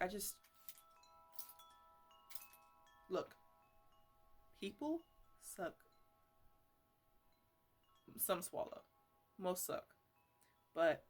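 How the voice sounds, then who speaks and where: A woman playing a teasing, slangy botanist who chats to her plants in a casual ASMR roleplay. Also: mid-range voice. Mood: playful, earnest. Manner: rambling, bantering, slangy.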